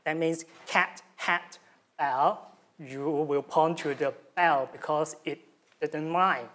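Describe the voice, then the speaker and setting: A man's voice: fairly high pitch, slow pace. Young Hong Kong man giving a talk. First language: Cantonese. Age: young adult. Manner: animated, gestures with hands, many fillers. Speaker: student presenter. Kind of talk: academic presentation. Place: lecture room, indoors. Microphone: stand mic at lectern.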